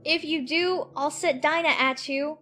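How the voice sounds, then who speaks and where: A man's voice: extremely high pitch, fairly even intonation, unhurried, pushed and bright, faint music behind, voice pushed up, exaggerated voice. A man voicing a curious, self-assured young girl in a dramatized storybook, prim and talking to herself. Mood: defiant. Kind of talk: dramatized audiobook character voice.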